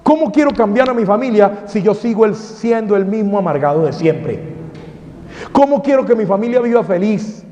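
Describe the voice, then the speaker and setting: A man's voice: high-pitched, animated, normal speed, mellow. A man, a priest, preaching a sermon in a parish hall and firing off rhetorical questions to the crowd. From Colombia. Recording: dull sound with long hall reverb.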